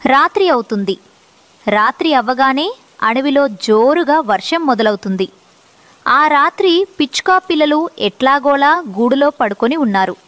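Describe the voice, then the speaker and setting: A woman's voice: sits high, lively intonation, moderate pace, fairly bright tone, no echo. A woman narrator reading a scripted moral story for children.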